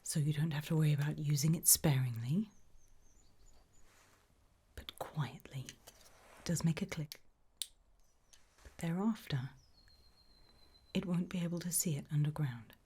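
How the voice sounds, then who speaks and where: A British woman whispers slowly in a low, hushed voice, playing a calm gravedigger in an eerie ASMR roleplay. Her delivery is reassuring and matter-of-fact, with long pauses. Some noise sits behind the voice.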